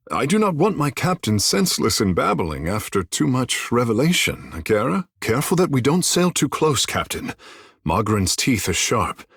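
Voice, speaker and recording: A man's voice: medium pitch, creaky, husky, no echo, studio quality, full character voice. An actor playing a vain, theatrical, flirtatious godlike fish-man in a fantasy video game, speaking in lofty, formal phrases. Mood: playful, flirtatious. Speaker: player's companion character.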